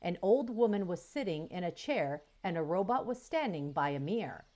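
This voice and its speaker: Lively woman reading a children's book. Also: on the low side, moderate pace. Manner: lively, expressive. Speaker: storyteller. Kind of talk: children's audiobook read-aloud.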